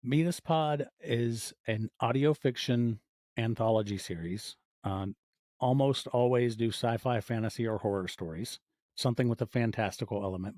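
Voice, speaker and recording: A man in his forties with a soft, mellow voice talks as a guest on a podcast. He tells stories in a casual, conversational way, briefly acting out what people said. The sound is very dry, with no room around it. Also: mid-range voice, no echo. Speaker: podcast guest, audio fiction producer. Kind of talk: casual interview storytelling.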